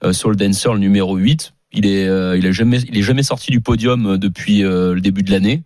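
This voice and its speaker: A man on a radio panel giving his pick, brisk and decisive. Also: lowish voice, a little mellow, dry recording, clean studio sound. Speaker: racing pundit.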